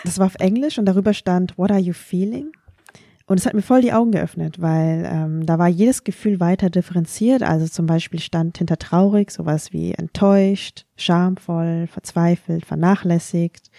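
Low mellow woman, calm and candid. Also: moderate pace, no room sound, clean studio sound. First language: Vietnamese. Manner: thoughtful, candid, self-ironic. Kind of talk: reflective personal conversation.

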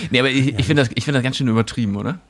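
Chatty German man co-hosting a podcast. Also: medium pitch. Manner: chatty, joking, often ends with "ne?". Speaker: podcast co-host. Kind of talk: casual chat.

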